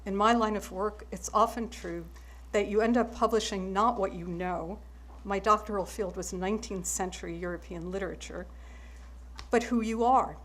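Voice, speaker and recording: A German-born woman with German as her first language, giving a measured, wry acceptance speech. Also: medium pitch, steady tone.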